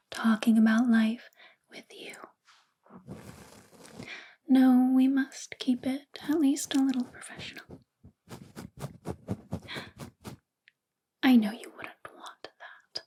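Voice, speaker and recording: A woman acts out a possessive female boss who dotes on her employee in an ASMR roleplay. Her voice is high yet soft and whispery, with very little rise and fall. She coaxes and teases slowly, in an intimate, flirtatious way. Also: husky. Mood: flirtatious, teasing. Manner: slow, intimate, coaxing, teasing.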